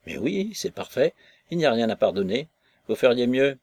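A man voicing a bitter, drunk young woman prisoner who talks in a scornful, sharp-tongued way. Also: medium pitch, very animated, moderate pace, no echo. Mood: mocking.

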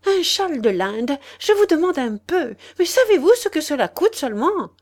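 A woman putting on a shrill, stubborn old woman. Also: sing-song, normal speed, darkish tone. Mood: scornful. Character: stubborn, haggling old woman refusing a costly gift.

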